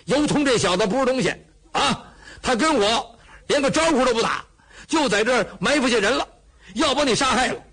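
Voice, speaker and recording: A man puts on a brash young bandit hero who bellows and blusters, his husky voice pushed high and swinging wildly. He is blunt and loud in every line. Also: sing-song, moderate pace, heavily acted. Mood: blustering.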